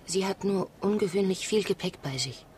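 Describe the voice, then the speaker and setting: An actor as a high-voiced, reserved servant. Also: male voice, normal speed, darkish tone, slight background noise, lightly acted. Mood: polite, guarded. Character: reserved, formal household servant in a Paris palace. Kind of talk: German radio crime drama acting.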